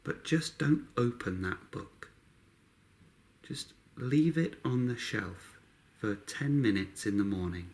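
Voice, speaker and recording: A man guiding a meditation, speaking calmly in short, unhurried phrases with long pauses between them. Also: medium pitch. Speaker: meditation guide. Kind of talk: guided meditation narration.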